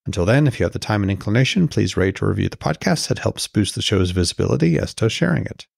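A man's voice: on the low side, expressive, quick pace, vocal fry, breathy edge, no room sound, clean studio sound. A man hosting a podcast and reading scripted narration as author and narrator.

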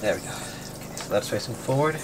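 A man's voice: fairly low pitch, expressive, fairly quick, very noisy. Chatty man explaining on a sailboat. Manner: explaining step by step, chatty with fillers. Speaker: sailing vlog host. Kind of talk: casual vlog narration. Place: aboard a sailboat, cabin and deck.

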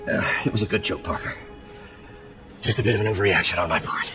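A man's voice: lowish voice, quick pace, lightly acted. An actor playing a proud, volatile older male scientist mentor in a video game, dramatic and emphatic in his delivery. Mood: subdued. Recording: loud music behind.

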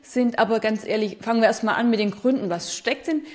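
A woman in her thirties hosting a business news interview, asking brisk, leading questions. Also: on the high side, a little mellow. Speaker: host interviewer.

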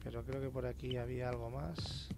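A man's voice: mid-range voice, flat delivery, brisk, creaky, heavy background noise. A man with a Castilian Spanish accent giving casual, informal gameplay commentary as a let's play host. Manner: informal, sweary, grumbling to himself. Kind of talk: casual gameplay commentary, reads in-game notes aloud.

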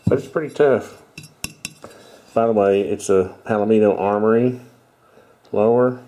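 Middle-aged man, stuffy and nasal from congestion, low mellow voice; rambling, self-correcting home-video host on a headset mic. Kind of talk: casual show-and-tell talk.

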